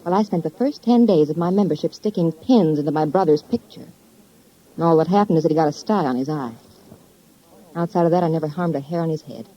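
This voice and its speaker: A woman's voice: deep voice, fast talker. An actress playing a callous, bitter young woman suspect with an American accent, blunt and coolly mocking, in 1950s radio crime drama style. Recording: muffled vintage 1950s radio recording.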